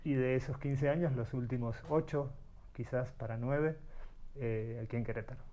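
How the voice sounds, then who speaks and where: A man's voice: mid-range voice, steady tone, moderate pace, warm tone. Reflective middle-aged man. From Uruguay. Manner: thoughtful, searching for words, frequent restarts. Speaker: guest, artist. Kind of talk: reflective interview storytelling.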